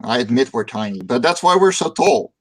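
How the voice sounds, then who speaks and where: A man's voice: medium pitch, very animated, fairly quick. A Dutch man hosting a casual livestream chat, upbeat and joking, often repeating words as he starts a sentence. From Netherlands. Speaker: stream host.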